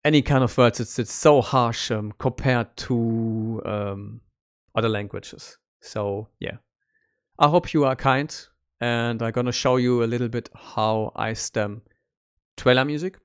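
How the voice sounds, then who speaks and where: A man's voice: mid-range voice, clean tone. A man in his thirties with a German accent, a composer chatting casually on a live stream from his home studio into a studio microphone. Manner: relaxed, chatty, plain phrasing with slips. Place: small home studio room. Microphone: studio mic on a stand, headphones on.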